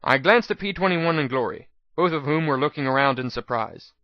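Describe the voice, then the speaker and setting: A man's voice: on the high side, animated, a little fast, no echo. Male storyteller on a dry, dullish recording. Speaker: narrator. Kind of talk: dramatic first-person fan-fiction reading. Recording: slightly dull, dry lo-fi recording.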